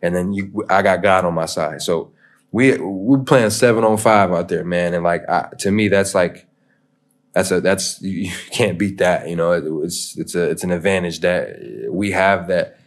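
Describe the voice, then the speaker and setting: A man's voice: low voice, moderate pace. A young American man, a pro athlete, talking loosely in a podcast interview, rambling and dropping "man" and "you know" often. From United States. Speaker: interview guest, pro basketball player. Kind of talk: casual podcast chat.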